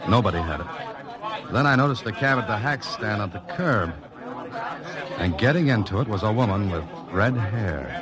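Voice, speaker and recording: An American actor playing a wry, cool novelist-sleuth in a 1940s radio drama, with dry, clipped delivery. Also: male voice, sits low. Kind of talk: 1940s radio drama acting and first-person narration. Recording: old radio recording with music behind.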